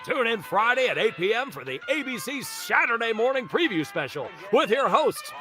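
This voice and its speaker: A man's voice: very high-pitched, big pitch swings, moderate pace, music in the background, pitched above the performer's own voice. A man voicing an over-hyped retro TV promo announcer, punchy and exaggerated, in a cartoon promo parody. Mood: hyped, cheesy. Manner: punchy, exaggerated, pushed-out "puking" DJ delivery.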